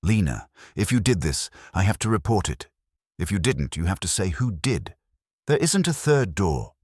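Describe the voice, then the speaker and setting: A man voicing a sober, plain-spoken male colleague in an audiobook mystery, measured and without heat. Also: low voice, very animated, husky, dry recording, clean studio sound, pitched above the performer's own voice, heavily acted. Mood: grave.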